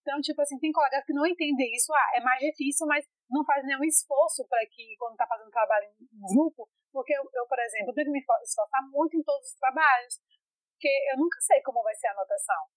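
A woman's voice: high voice, fast, no room sound, low-quality recording. A woman from Brazil, telling stories in a casual interview with lots of fillers and restarts. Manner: chatty, animated storytelling with many fillers and restarts. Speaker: interviewee, foreign master's student in France.

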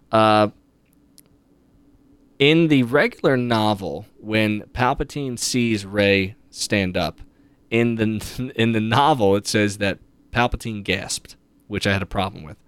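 A man's voice: fairly low pitch, a bit bright, clean tone, dry recording. A man hosting a podcast, casually recapping a book with read-aloud passages and opinionated, conversational asides. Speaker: podcast host.

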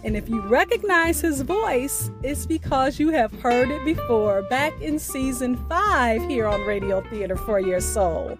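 A woman announces as the host of a radio storytelling show. Her voice is high and she speaks very slowly, with clear plucked guitar music behind her. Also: expressive.

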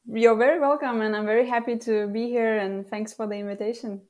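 A woman's voice: on the high side, fairly even intonation, a little fast, darkish tone. Young Lithuanian-born woman guest, giggly. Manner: laughs often, many ums and uhs. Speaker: guest copywriter. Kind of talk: casual remote interview. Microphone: video call.

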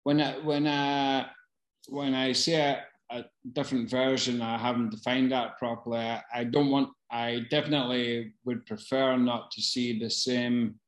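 A man, a casting director answering questions in a casual Q&A, halting with many fillers and restarts. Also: medium pitch, reverberant, rough recording. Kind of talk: casual Q&A advice.

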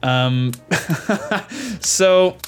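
A man's voice: sits high, expressive, drawn-out words, clean tone. Casual male podcaster, rambling storyteller. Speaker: podcast host. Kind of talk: casual film discussion chat.

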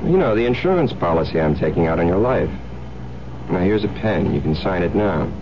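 Radio drama villain: low, outwardly soothing murderous husband, clear vehicle noise behind. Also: male voice, lively intonation, a little fast. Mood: smooth, coaxing. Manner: coaxing, casual. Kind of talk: old radio drama acting. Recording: dull radio recording, loud cabin noise.

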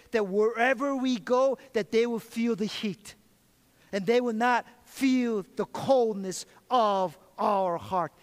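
A middle-aged man preaching an earnest sermon as pastor, often repeating and restarting his phrases and calling for amens. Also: very high-pitched. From Korean heritage. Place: large church sanctuary. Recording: long reverb of a church hall.

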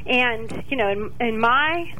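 A woman's voice: on the high side, expressive, takes it slowly, bright, forceful tone, slight background noise, rough recording. A woman calling in to a talk radio show over the phone, giving her opinions in an outspoken, conversational way.

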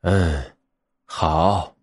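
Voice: sits low, drawn-out words, darkish tone, dry recording, pitched below the performer's own voice, exaggerated voice. A man voicing a retired, good-natured old grandfather bear in a storybook tale. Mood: thoughtful.

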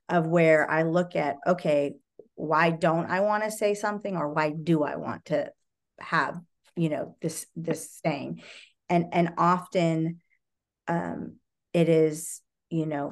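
Low-voiced woman sharing thoughtfully. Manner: reflective, searching for words with many fillers. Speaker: meeting participant sharing. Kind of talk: casual sharing in a recovery study meeting. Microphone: video call.

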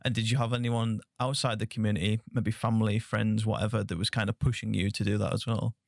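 A young man hosts a podcast in a chatty, friendly way, with plenty of fillers. His voice sits low and has a gravelly fry, and the recording is dry with no room sound. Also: flat delivery, fast, dry recording. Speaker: podcast host. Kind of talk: casual interview chat.

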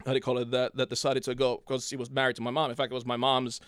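A man's voice: mid-range voice, completely flat delivery, rapid-fire, fairly bright tone, smooth, no echo, studio quality. A middle-aged man from Cuba in a casual conversation, telling a long story with many restarts and repeated words.

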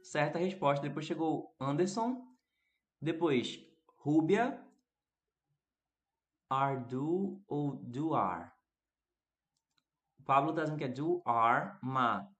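A man's voice: fairly high pitch, animated, slow, long pauses, fairly bright tone. A man from Recife with a northeastern Brazilian accent, chatting casually as he teaches a live online class and addresses his students. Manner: chatty, questioning, addressing viewers by name. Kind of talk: casual interactive lesson, reading and answering chat messages.

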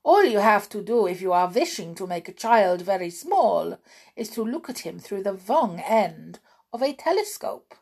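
Grand High Witch, read by a woman. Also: big pitch swings, creaky, darkish tone, breathy edge, exaggerated voice. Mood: scheming. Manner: slow, emphatic, theatrical pronouncements. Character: commanding female witch leader, ruthless and vain. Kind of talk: audiobook character reading.

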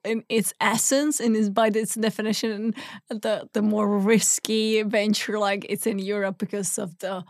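A woman's voice: medium pitch, flat delivery, unhurried, no room sound, studio quality. A woman hosting a podcast interview, talking in a casual conversational way.

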